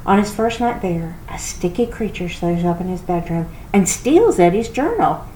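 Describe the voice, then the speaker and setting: A woman giving an expressive storybook read-aloud, like a children's library reader. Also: on the low side, moderate pace. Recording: roomy echo with clear background noise.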